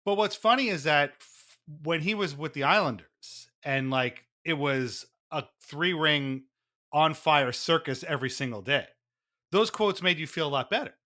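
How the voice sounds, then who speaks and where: A man's voice: fairly high pitch, normal speed, bright, forceful tone. A man co-hosting a sports podcast in a casual, wry and amused manner. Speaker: podcast co-host. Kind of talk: casual hockey fan chat with mock-dramatic readings.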